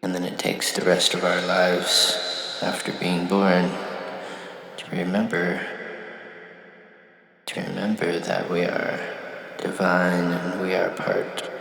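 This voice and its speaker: A man delivering a reflective spiritual monologue, musing in halting phrases with long pauses between them. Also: low-pitched, monotone, vocal fry, a bit bright, reverberant. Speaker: monologue speaker.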